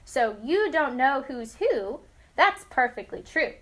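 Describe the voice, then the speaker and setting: A woman's voice: sits high, sing-song, slow pace, fairly bright tone, heavily acted. A woman voicing a sly male storybook salesman with coaxing patter. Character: sly male salesman offering costly machine fixes.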